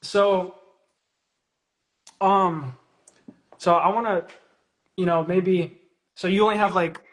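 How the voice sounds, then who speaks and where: A man's voice: sits high, fairly even intonation, takes it slowly, fairly bright tone. A young man hosting a casual phone-streamed interview, hesitant and full of fillers. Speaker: interviewer host. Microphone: phone on a live stream.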